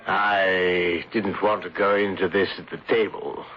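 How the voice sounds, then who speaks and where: A dull, lo-fi radio recording of a smooth gentleman schemer. His voice sits fairly low, and he speaks in florid, unctuous phrases with delicate hesitations and mournful sympathy. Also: steady tone, a little slow, slight background noise. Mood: probing, insinuating. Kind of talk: radio drama acting.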